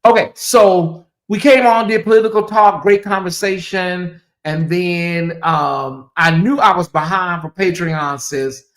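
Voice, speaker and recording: A man talks casually to his livestream viewers from home. His voice is hoarse and fading, and he rambles, circles back and repeats lines with dry sarcasm. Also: sits high, unhurried, hardly any pauses, fairly bright tone. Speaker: livestream host. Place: home in Atlanta, cold room.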